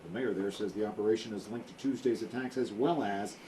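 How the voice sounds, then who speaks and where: A man foreign correspondent voicing a news report in a measured reporter delivery. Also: fairly low pitch, mellow. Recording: distant playback with light noise.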